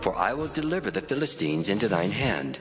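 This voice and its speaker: A solemn, commanding God in a biblical audio drama. The voice is deep and stately, moving expressively over music. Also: male voice, very animated, a little fast. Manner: stately, authoritative.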